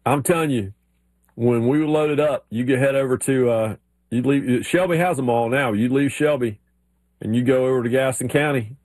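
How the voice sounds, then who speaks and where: A man's voice: medium pitch, normal speed, darkish tone, no echo. A man in a casual chat, chatty and self-deprecating, who acts out what people said.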